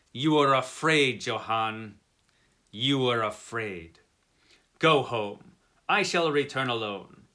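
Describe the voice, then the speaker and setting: A man giving a melodramatic reading of a classic gothic story, slow and theatrical with big swings in loudness. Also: mid-range voice. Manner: dramatic, slow, with sweeping rises and drops.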